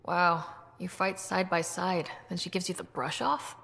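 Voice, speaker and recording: Young woman leader, sincere, supportive, lots of fry, mid pitch, echoey game cutscene. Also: unhurried, a bit bright. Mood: sympathetic. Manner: sincere, inquisitive. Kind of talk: video game dialogue acting. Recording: long reverberant hall sound.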